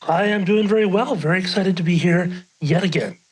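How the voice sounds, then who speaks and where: A man's voice: sits high, fairly quick, husky, poor audio. A man co-hosting a podcast, chatting casually with dry, wry remarks. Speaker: podcast co-host. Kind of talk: casual chat.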